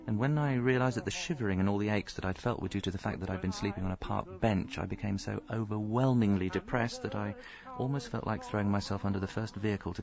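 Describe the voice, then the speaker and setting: An actor playing a rueful, self-pitying middle-aged husband, narrating his misfortunes deadpan in a radio comedy. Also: fairly low pitch, gravelly. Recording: slightly dull audio, faint music.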